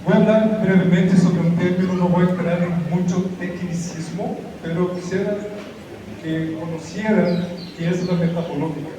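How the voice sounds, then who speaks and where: A man's voice: high-pitched, fairly even intonation, moderate pace. A man, a professor, lecturing in a conversational way and often checking in with his listeners. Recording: echoey hall, distant mic, noisy.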